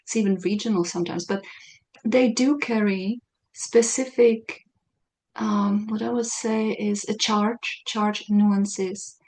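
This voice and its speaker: Animated woman host thinking aloud. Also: medium pitch, slow, rough recording. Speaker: podcast host. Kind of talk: casual conversation.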